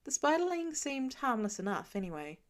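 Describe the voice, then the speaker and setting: A woman reads a fan fiction story aloud, carrying the narration expressively. Her voice sits a little higher than most women's. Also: very animated, normal speed. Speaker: podfic reader.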